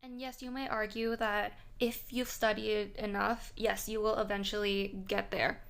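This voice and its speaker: A woman's voice: mid-range voice, flat delivery, a little slow, creaky, a bit bright, smooth. A young woman from Thailand, a native Thai speaker, talking casually in English to camera with plenty of fillers. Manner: chatty, frequent fillers and laughs. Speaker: vlogger.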